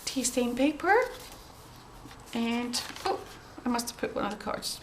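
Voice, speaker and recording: Woman, croaky from a cold, gentle and chatty, lots of ums, slightly high pitch, faint background noise. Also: unhurried. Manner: gentle, chatty, with frequent ums and throat clearing. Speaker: craft journal maker showing her work. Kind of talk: casual show-and-tell.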